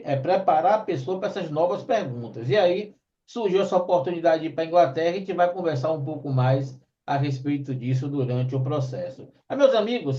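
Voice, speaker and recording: A man's voice: on the high side, mellow, muffled recording. Man in his fifties hosting, distant mic. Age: 50s. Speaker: host. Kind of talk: casual interview chat.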